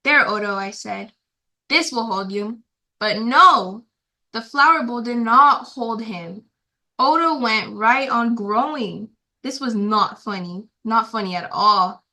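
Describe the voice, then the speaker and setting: A woman's voice: on the high side, takes it slowly, bright, forceful tone. A female guest reader reading a children's storybook aloud in a simple, careful storytelling way.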